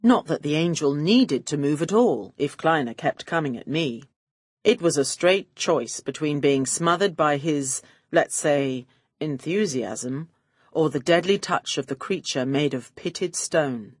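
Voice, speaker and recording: A woman's voice: deep voice, animated, measured pace, dry recording, clean studio sound. A woman reading a first-person detective story as an audiobook narrator, with a wry, arch and poised delivery.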